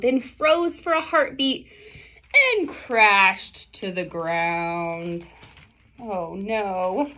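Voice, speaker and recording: A woman's voice: high-pitched, fairly bright tone. A woman in her thirties reading a picture book aloud expressively to children, with dramatic phrasing and short asides to her listeners. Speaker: storybook reader for children. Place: small indoor classroom or office.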